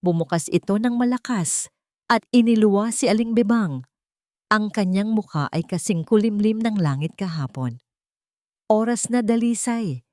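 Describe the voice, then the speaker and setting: A woman's voice: medium pitch, normal speed, a little mellow, dry recording, clean studio sound. A woman storyteller reading a scripted, dramatic love story.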